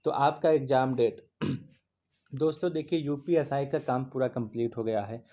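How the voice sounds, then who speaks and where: A man's voice: medium pitch, moderate pace, warm tone, lo-fi sound. A man teaching exam aspirants in a casual spoken lesson, earnest and repetitive, often restarting words mid-sentence. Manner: earnest, repeats himself, restarts mid-word.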